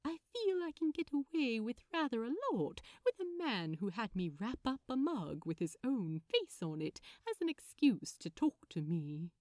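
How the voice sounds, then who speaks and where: A woman voicing a sweet, gently flirtatious man who talks politely and teasingly in a fan fiction reading. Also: fairly high pitch, sing-song, moderate pace, hardly any pauses, dry recording, studio quality. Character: sweet, gently flirtatious male gift-wrapper. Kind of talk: fan fiction audiobook dialogue.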